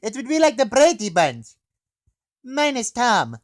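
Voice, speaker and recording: A man voicing a brash, wisecracking counter-terrorist operator, teasing and excitable, in a comedy review. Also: very high-pitched, measured pace, pitched above the performer's own voice, exaggerated voice. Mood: playful. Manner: loud, teasing, calls people comrade.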